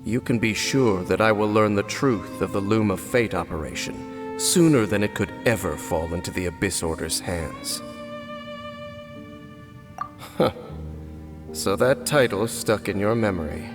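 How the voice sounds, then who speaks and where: A man acts out a guarded, cursed former guard captain from a video game. His voice sits on the low side. He phrases things formally and deliberately, with a grave, brooding air, over clearly audible music. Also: natural voice. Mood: somber, guarded. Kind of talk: video game character acting.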